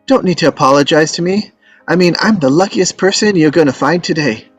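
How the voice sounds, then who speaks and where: A man's voice: fairly high pitch, fairly quick, slightly rough, no room sound, faint music behind. A man playing an earnest, lovestruck and stubborn young romantic in a screenplay table read, speaking sincerely and wistfully. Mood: earnest, subdued.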